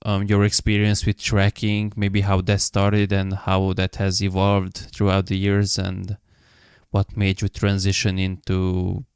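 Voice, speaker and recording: A man hosting an interview podcast, introducing the episode and asking questions in a measured way with frequent ums. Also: deep voice, monotone, clean tone, no echo, clean studio sound. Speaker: podcast host.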